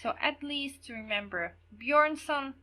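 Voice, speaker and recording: A woman's voice: sits high, a little slow, pushed and bright. A young woman with a Slovak accent, a non-native English speaker, giving an online history lecture and recapping key points for students with casual fillers.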